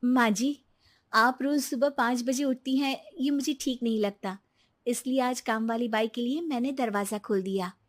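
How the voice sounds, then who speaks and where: Woman acting a high, polite young daughter-in-law. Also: moderate pace, close to an everyday voice. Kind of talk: cartoon moral story acting.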